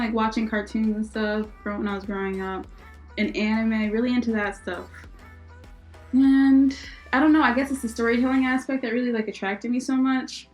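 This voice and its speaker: A young American woman chatting casually and informally as a podcast co-host, with exclamations and a giggly way of talking. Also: on the high side. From born in Israel, raised in Philadelphia, US. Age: young adult. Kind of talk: casual unscripted chat.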